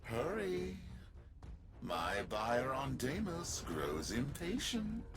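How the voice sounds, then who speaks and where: An actor playing a greedy, villainous landlord in a video game, theatrical and condescending, with mock kindness over menace. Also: male voice, medium pitch, huge pitch swings, drawn-out words, noisy background, heavily acted. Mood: threatening. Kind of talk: video game character acting. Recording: music under the voice.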